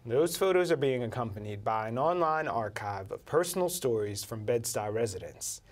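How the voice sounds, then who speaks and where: A man's voice: mid-range voice, animated, normal speed. A man in his thirties hosts a studio talk show with an upbeat, conversational delivery and a mid-range voice. He speaks on a lapel mic in the studio. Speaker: TV host. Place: TV studio, indoors.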